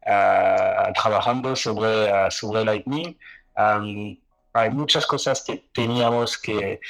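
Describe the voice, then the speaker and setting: Male guest, slow and hesitant, low voice, lots of ums. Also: rough recording. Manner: hesitant, many filled pauses. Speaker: exchange founder, guest. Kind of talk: casual interview talk.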